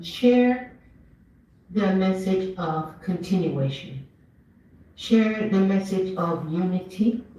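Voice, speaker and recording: A speaker from Ethiopia giving an earnest, measured commemorative speech at an event, lifting their voice on key words. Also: medium pitch, mellow. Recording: dull, echoey hall, mic far off.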